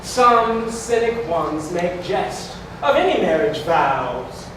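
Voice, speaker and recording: In a very echoey, noisy hall, a grand deity of love played by a stage performer declaims florid verse. The delivery is slow, ceremonious and festive, swooping widely in pitch like a herald opening a rite. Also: big pitch swings, recorded from a distance. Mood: grand, playful. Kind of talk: theatrical verse recitation at a staged reading. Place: community hall stage before an audience.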